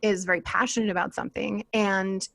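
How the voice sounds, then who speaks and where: A woman podcaster talking casually on a live panel, chatty and quick to laugh. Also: mid-range voice, steady tone, vocal fry, a bit bright.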